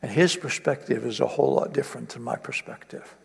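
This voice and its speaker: A man's voice: medium pitch, fairly quick, creaky, husky. A man preaching a sermon in an earnest way, building to emphatic repetition. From East Africa. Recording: long reverb of a hall.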